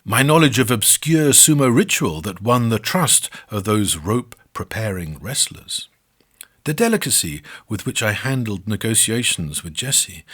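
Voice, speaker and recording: A man's voice: fairly low pitch, a bit bright, husky. A man narrating a scripted first-person memoir, with a wry, mock-boastful, self-deprecating manner.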